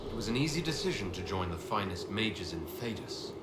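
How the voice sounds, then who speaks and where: Commanding male leader, lively intonation. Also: fairly low pitch, very noisy. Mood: composed, curious. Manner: firm, measured, authoritative. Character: commanding male Inquisitor, resolute military leader. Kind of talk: dramatic video game acting. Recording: roomy echo, faint music behind.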